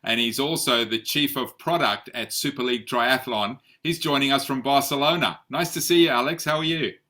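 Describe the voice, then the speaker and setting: A man's voice: medium pitch, normal speed, sharp tone. A middle-aged man hosting an interview podcast, polite and friendly, often repeating words as he starts a sentence. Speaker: podcast host.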